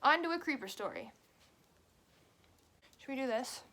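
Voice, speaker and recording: Chatty young woman, bright high voice. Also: animated, background noise. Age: 20s. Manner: chatty, quick, many fillers. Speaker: vlogger. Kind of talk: casual storytime vlog. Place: at home.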